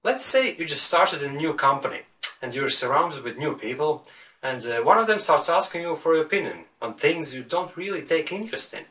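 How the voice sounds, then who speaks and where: A Latvian-accented man teaching English speakers to stop worrying, talking earnestly and firing rhetorical questions at the viewer. His voice is bright and clear. He sits a little back from the microphone. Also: fairly high pitch, animated, fairly quick, distant mic. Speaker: English fluency coach. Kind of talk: motivational video lesson.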